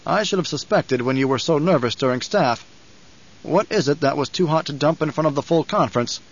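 A man's voice: a little high, lively intonation, a little fast, slightly rough, muffled recording. A man voicing a proud, stern and weary nobleman in an audiobook, with an authoritative, clipped delivery. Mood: calm, probing.

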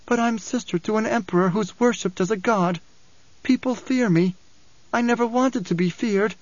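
An anguished young woman seer, played by a male narrator, pitched well up from a man's usual talk. The delivery is soft, strained and intense, swinging on an imperious edge, in a dry but slightly dull audiobook recording. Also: normal speed, no echo, natural voice.

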